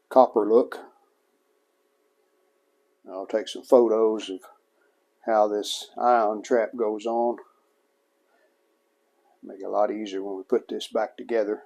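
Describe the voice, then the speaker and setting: Male hobbyist restorer, lowish pitch, very slow delivery, casual plain-spoken workbench narration. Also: mellow. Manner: unhurried, plain-spoken thinking aloud while working. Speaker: hobbyist restorer presenting a repair video. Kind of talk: casual hands-on repair narration.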